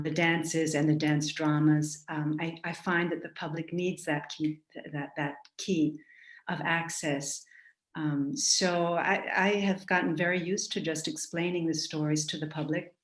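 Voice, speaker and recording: Low-voiced woman, echoey room. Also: fairly even intonation. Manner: measured, explanatory, frequent ums. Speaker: panel guest, dancer. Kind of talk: panel discussion.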